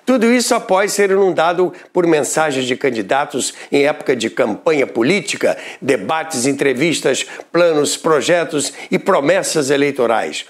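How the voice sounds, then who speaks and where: An older man with a Brazilian Portuguese accent who hosts a radio history show, narrating from a script with expressive, emphatic delivery. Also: fairly high pitch, normal speed, few pauses.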